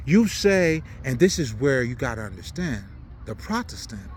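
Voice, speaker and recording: A man's voice: mid-range voice, very animated, normal speed, slight background noise. A man from Haiti, not a native English speaker, giving an unscripted, preaching monologue in blunt, profane slang with emphatic repetition. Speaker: spiritual commentator.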